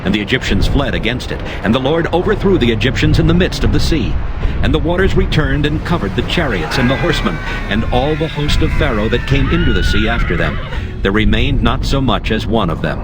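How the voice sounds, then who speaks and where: A man narrating a dramatized scripture reading in a slow, steady and formal way. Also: mid-range voice, heavy background noise. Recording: music underneath.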